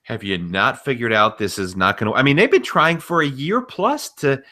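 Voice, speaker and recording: A man's voice: mid-range voice, expressive, moderate pace, sharp tone. A man co-hosting a podcast, giving emphatic, opinionated commentary on wrestling in a casual conversational style. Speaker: podcast co-host.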